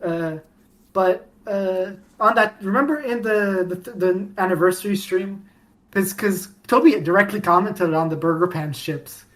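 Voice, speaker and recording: A woman chatting as a podcast guest, rambling with many restarts and sudden bursts of excitement. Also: medium pitch, measured pace, poor audio. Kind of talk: casual podcast chat.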